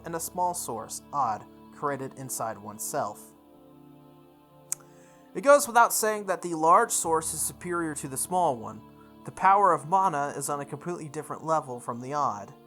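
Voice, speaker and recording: A woman hosting a visual novel playthrough, reading the text aloud in a measured way and commenting casually. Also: low-pitched, expressive, a bit bright, soft background music. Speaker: gaming video host.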